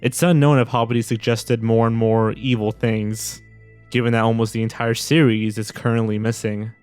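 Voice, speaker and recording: A man's voice: mid-range voice, level tone, moderate pace, a little mellow, clean tone. A man reading scripted narration as a video narrator. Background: background music.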